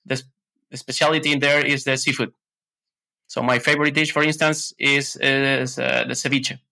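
A man from Ecuador with an Ecuadorian Spanish accent, chatting casually as an interview guest in slightly halting English. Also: mid-range voice, flat delivery, gravelly, sharp tone. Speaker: podcast guest, tech consultant.

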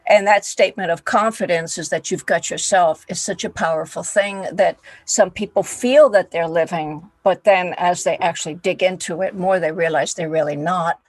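A woman's voice: lowish voice, moderate pace, few pauses, husky, no room sound, rough recording. A woman hosting a podcast interview, speaking in an encouraging way with frequent "uh"s. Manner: warmly encouraging, with filler "uh"s. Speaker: podcast host. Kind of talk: interview chat.

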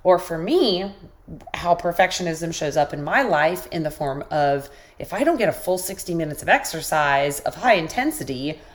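A woman hosting a podcast, giving an encouraging motivational talk while sick with a squeaky voice. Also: lively intonation, moderate pace. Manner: encouraging, coaching listeners directly. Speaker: podcast host.

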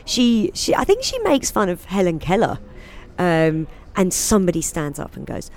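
A woman in casual interview chat, animated and laughing often, acting out quotes in high voices. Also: normal speed. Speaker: guest, singer and comedian.

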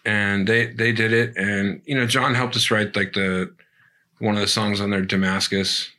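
Rambling, swearing man with a bright voice. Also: lowish voice, level tone, moderate pace. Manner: rambling, swears a lot, many fillers. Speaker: punk band member. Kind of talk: casual profane chat.

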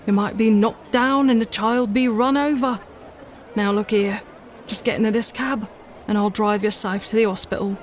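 A woman's voice: medium pitch, fairly even intonation, moderate pace, lightly acted. Storybook cabman, working-class Londoner, kindly, firm, reassuring, read by a woman, some background noise. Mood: firm, concerned. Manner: plain-spoken, reassuring.

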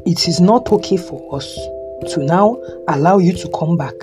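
A man's voice: high-pitched, expressive. Stern old Yoruba father, acted by a man. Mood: stern, admonishing. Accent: Nigerian Yoruba. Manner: admonishing, deliberate, switches between Yoruba and English. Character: stern elderly father and grandfather. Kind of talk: audio drama acting. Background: music.

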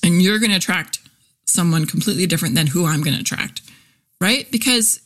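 Forties woman, podcast host, slightly low pitch, loose chatty delivery with lots of fillers. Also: fairly quick, fairly bright tone. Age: 40s. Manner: chatty and candid, many fillers, laughs easily. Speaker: podcast host, copywriter and content teacher. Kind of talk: casual solo podcast chat.

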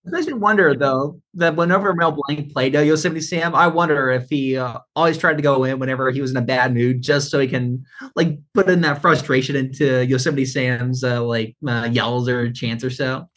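A man in a casual fan discussion on a podcast, speaking in a halting way with many fillers. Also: medium pitch, fairly even intonation, a bit bright. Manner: halting, filler-heavy delivery. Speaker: podcast co-host.

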